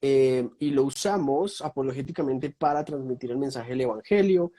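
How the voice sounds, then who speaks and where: Mellow-voiced Colombian man on a call. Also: medium pitch, moderate pace. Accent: Colombian Spanish. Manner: thoughtful, lists topics with many fillers. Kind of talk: casual online conversation. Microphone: video call.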